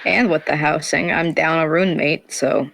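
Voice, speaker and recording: Casting: slightly high, gravelly, bright male player, blunt and profane. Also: steady tone, moderate pace, no room sound, rough recording. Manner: blunt, swears freely. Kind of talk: casual chat.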